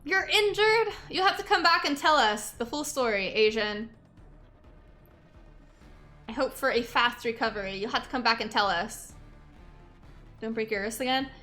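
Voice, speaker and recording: A young woman streamer with a bright, forceful voice that sits a touch high, a little hoarse from talking a lot. She chats loosely with viewers, rambling and stacking up repeated thank-yous, with soft music faintly behind her. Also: animated, moderate pace, pauses often. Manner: chatty, many filler likes, repeats thanks. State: a bit hoarse, sore throat. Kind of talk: casual chat while gaming.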